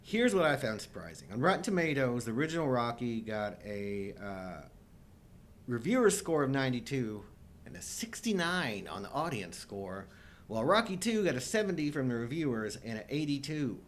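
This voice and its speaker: Animated, jokey man around fifty. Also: mid-range voice, normal speed. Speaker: co-host of a live show. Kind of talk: casual chat about films.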